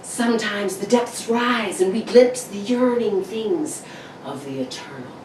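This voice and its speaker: A woman's voice: mid-range voice, animated, unhurried, a little mellow, lightly acted. A woman playing an unnerved, sleepless, eerily intense man in a 1940s-style suspense radio drama, theatrical and brooding. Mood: eerie, brooding. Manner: theatrical, brooding, nervous laughter. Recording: roomy, distant, faint background noise.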